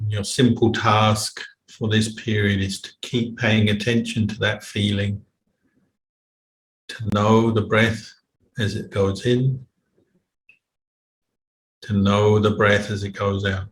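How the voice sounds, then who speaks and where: A man teaching a guided meditation in a measured, unhurried way, with long pauses between phrases. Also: fairly low pitch, level tone. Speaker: meditation teacher, Buddhist monk. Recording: roomy echo, faint background noise.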